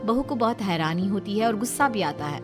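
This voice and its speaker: A woman's voice: medium pitch, animated, fairly quick, over music. A woman narrating a scripted Hindi cartoon story as a storyteller.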